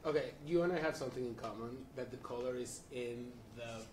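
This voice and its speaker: A man's voice: mid-range voice, fairly quick, a little mellow, a little hiss or hum. A man moderating a casual panel, joking and stumbling over his words. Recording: echoey room at live event.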